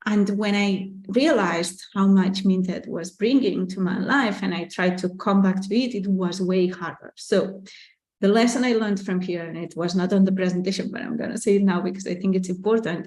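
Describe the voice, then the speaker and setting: A woman's voice: mid-range voice, darkish tone, reverberant. A woman with a Catalan accent, a native Catalan speaker, hosting a casual live Q&A, chatty and candid, with lots of "like" and "you know" and small laughs as she reads out viewer questions. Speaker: artist and business owner hosting a live Q&A.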